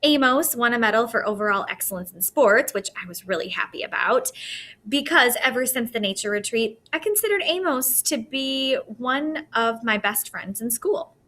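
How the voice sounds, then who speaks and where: A woman reading a children's novel aloud in an expressive storytelling manner. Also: a little high, moderate pace. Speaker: audiobook-style reader.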